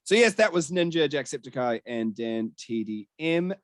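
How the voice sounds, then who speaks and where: A New man with a mid-pitched voice, recorded close and dry. He works as a podcast host, putting questions in a conversational, journalistic style. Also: lively intonation, normal speed, no room sound. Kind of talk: conversational interviewing and podcast hosting.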